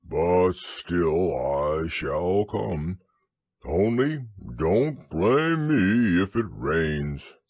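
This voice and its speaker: A man voicing a gloomy, self-pitying male donkey who drawls slowly and sighs. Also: deep voice, lively intonation, darkish tone, no echo, heavily acted. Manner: slow, mournful, sighing drawl.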